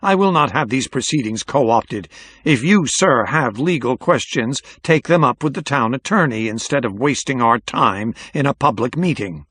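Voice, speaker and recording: A man voicing an angry, pompous mayor in an audiobook, loud and commanding. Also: medium pitch, expressive, normal speed, breathy edge. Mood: furious. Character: angry, blustering small-town male mayor in office.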